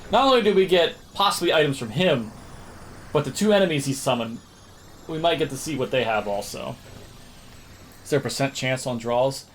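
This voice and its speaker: Man casually commentating a video game over music. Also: mid-range voice. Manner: thinks aloud, hums little nonsense tunes. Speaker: video game streamer and speedrunner.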